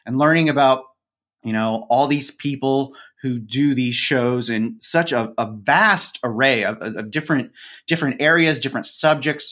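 A man's voice: medium pitch, fairly bright tone. A man speaking casually as an interviewed podcast co-host, with a wry, dryly humorous way of talking.

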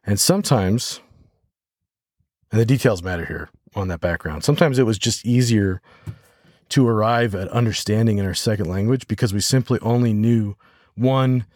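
An American man hosting a podcast solo, talking reflectively and haltingly as he thinks aloud. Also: fairly low pitch, a little mellow, no room sound, clean studio sound. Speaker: podcast host.

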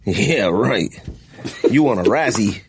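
A man's voice: on the low side, expressive, slow pace, background noise. A man hosting a casual podcast with friends, teasing them playfully and calling out his picks like an announcer. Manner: playful trash talk, teasing, announcer-style pick calls. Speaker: podcast host. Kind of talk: casual chat among friends.

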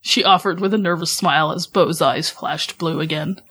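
A woman's voice: low voice, normal speed, fairly bright tone, husky. A woman reading scripted fan fiction narration as a podcast host. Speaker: podcast host, author reading own story.

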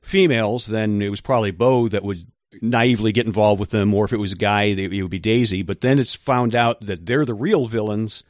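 Brief: male co-host, lowish voice, measured explainer, dry close sound. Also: no echo. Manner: measured, explanatory, some hesitant fillers. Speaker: podcast co-host. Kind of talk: casual chat.

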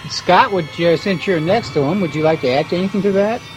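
Higher-voiced male moderator over music. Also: fairly quick, poor audio. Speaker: panel moderator. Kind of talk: casual moderating.

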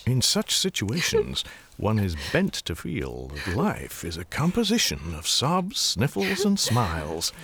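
An actor playing a wry, theatrical narrator of a radio drama, telling the story in an ornate, deliberate way. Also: male voice, on the low side, wildly swooping pitch, a bit bright, no echo, full character voice. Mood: wry, droll. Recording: music clearly behind.

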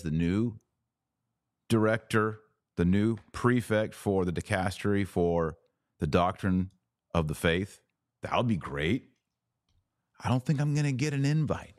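A man with a very low-pitched voice gives conversational opinion commentary as a podcast host. He is emphatic, leaning on repetition and rhetorical questions with a wry streak. The recording is dry, with hardly any room sound. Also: unhurried, long pauses, a little mellow, no echo. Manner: emphatic, repeats words and asks rhetorical questions.

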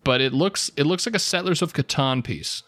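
A young American man co-hosting a podcast with casual comedic banter, slangy, profane and animated in delivery. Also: on the high side, a little fast, dry recording. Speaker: podcast co-host.

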